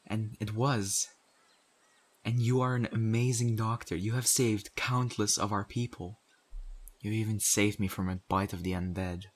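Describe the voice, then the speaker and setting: A man playing a stern, principled and exasperated survivor in a zombie apocalypse audio roleplay, speaking earnestly and scoldingly with deliberate, pointed pauses. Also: on the low side, steady tone, breathy edge. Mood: disappointed, confronting. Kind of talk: audio roleplay acting addressed to the listener.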